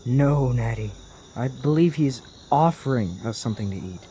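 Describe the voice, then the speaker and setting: A man's voice: mid-range voice, animated, normal speed, dark tone, slight background noise, natural voice. A man playing a cautious, earnest young traveler in a fantasy audio drama, speaking formally as an anxious protector. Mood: polite, curious.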